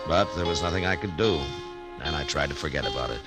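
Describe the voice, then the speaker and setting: A very low-voiced, laconic American frontier marshal, steady and weary. He speaks tersely with understated pauses in a vintage radio western, with loud music in the recording. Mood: grave, weary. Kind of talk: 1950s radio western acting and first-person narration.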